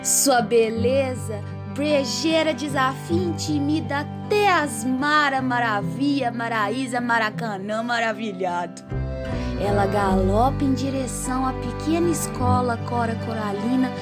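A folksy woman narrator, played by an actress tells a comic radio serial slowly in a fairly high voice. Her rural Brazilian accent and wry, theatrical delivery sit over clear music. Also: natural voice. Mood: wry, dramatic.

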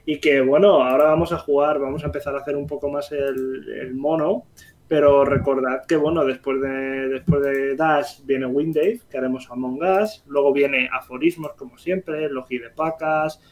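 A Spanish man co-hosting a casual livestream podcast, excitable and eager to thank viewers. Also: medium pitch, normal speed. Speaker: podcast co-host.

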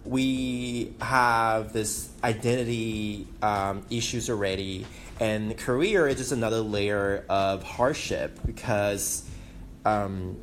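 Man, Taiwanese accent, thirties, slow deliberate pace, low voice, faint hiss behind, hesitant podcast talk. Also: fairly even intonation. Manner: hesitant, many ums, self-conscious. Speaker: podcast host. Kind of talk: casual solo podcast talk. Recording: faint background noise.

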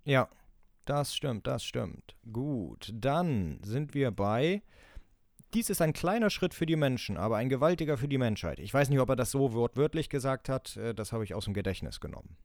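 A man's voice: mid-range voice, normal speed, no echo, studio quality. A man co-hosting a casual podcast, reading out quotes for a quiz and laughing often. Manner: lively, laughing, reads quotes aloud. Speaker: podcast co-host running a quiz.

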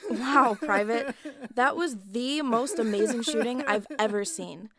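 A woman's voice: on the high side, measured pace, a loud crowd. A woman playing a sarcastic, bossy military training instructor in a video game, in a comic audio drama. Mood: sardonic. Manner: sardonic, bossy, condescending.

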